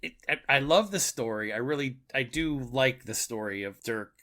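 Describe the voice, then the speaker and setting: A man's voice: mid-range voice, normal speed, sharp tone. A man co-hosting a podcast, casually reflecting and thinking aloud with a chuckly manner. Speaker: podcast co-host. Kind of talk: casual book and radio drama discussion.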